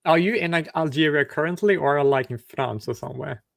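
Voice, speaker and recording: A man's voice: on the high side, brisk, creaky. A man hosting casual video chats with strangers, asking curious questions and often stumbling and restarting his sentences. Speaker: online video chat host.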